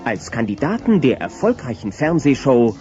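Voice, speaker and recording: A man's voice: medium pitch, sing-song, normal speed, dark tone. A man narrating a children's audio play in an emphatic storytelling manner. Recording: dull cassette with music behind.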